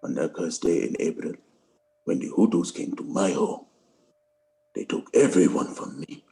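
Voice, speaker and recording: A man acting a soldier set on vengeance, reading a drama script in a very low, dark voice. He is sorrowful and resolute, speaking gravely and deliberately with a gravelly rasp. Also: very animated, heavily acted. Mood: bitter grief. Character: resolute, sorrowful soldier bent on revenge, male. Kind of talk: dramatic script table read.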